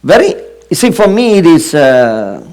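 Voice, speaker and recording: A middle-aged man from Singapore with a Singaporean accent, preaching an earnest sermon and often pausing on fillers. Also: a little high, sing-song, darkish tone, faint music behind. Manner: earnest, drawn-out emphasis with frequent fillers.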